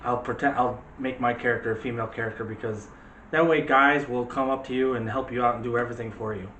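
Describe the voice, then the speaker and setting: A man's voice: mid-range voice, fairly even intonation, quick pace, fairly bright tone, muffled recording. A man co-hosting a movie discussion, chatting casually in a jokey, digressive way. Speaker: co-host of a movie discussion show. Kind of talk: casual chat. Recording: roomy echo, faint background noise.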